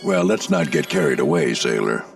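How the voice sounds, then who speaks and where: Gravelly old-hand navy plane mentor. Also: male voice, extremely low pitch, wildly swooping pitch, normal speed, distant mic, faint music behind, exaggerated voice. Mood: storytelling. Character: seasoned male navy plane, gruff mentor and storyteller. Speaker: commanding instructor recounting past races. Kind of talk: animated game storytelling.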